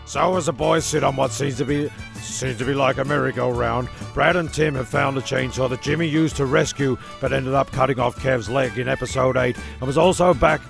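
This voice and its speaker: Very creaky male comedy narrator. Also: medium pitch, a little fast, few pauses, music in the background. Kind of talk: comic scripted narration.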